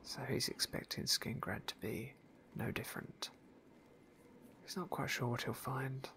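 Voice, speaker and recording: Whispering man with a gravelly edge. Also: medium pitch, steady tone, measured pace. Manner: soft unvoiced whisper, leisurely and wry. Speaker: ASMR gaming host. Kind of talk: whispered ASMR game playthrough narration.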